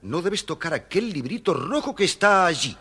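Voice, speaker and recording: An actor playing an eccentric, stern but indulgent master craftsman in an audio storybook, with a husky voice and emphatic, pronouncing manner. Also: high-pitched, very animated, normal speed, bright, forceful tone, full character voice. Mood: grudging, warning. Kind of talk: scripted audio storybook acting.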